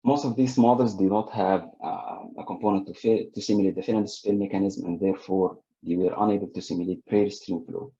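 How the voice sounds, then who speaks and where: A man's voice: low voice, fairly even intonation, fairly quick, hardly any pauses, warm tone, highs cut off. A man giving an academic webinar presentation, talking through results with frequent fillers and restarts.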